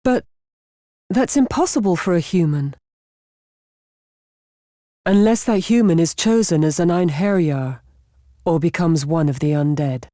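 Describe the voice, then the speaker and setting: A woman voicing a composed, solemn female elf in a video game cutscene, speaking in a measured, grave manner. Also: lowish voice, warm tone, no room sound, clean studio sound, close to an everyday voice. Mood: grave, questioning.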